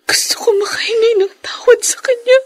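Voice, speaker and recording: A plaintive, high-voiced young wife. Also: female voice, steady tone, a little fast. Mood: grieving, tearful. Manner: plaintive, emotional. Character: grieving, grateful young wife and daughter. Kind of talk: Filipino radio drama acting.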